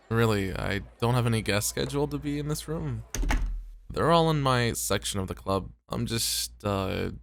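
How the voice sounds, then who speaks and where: A man playing a bashful, modest club host in an ASMR roleplay, speaking in a hesitant, low-key, casual way. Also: vocal fry, dry recording, studio quality. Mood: flustered, apologetic.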